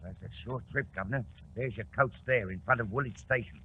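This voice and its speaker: A man's voice: medium pitch, brisk, close to an everyday voice. Matey cabby played by a man, crackly radio. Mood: chatty, obliging. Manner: colloquial, matey. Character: rough, chatty male London cab driver. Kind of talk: 1940s radio drama acting. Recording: scratchy 1940s radio broadcast, narrow band.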